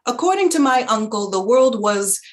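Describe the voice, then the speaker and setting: A woman narrating a staged literary reading in a measured, lyrical, dramatic way. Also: a little high, animated.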